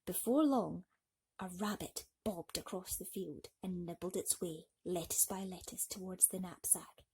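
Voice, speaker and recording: A woman's voice: on the low side, measured pace, darkish tone, slight background noise. A woman storyteller reading a bedtime story to children theatrically, speaking directly to young listeners.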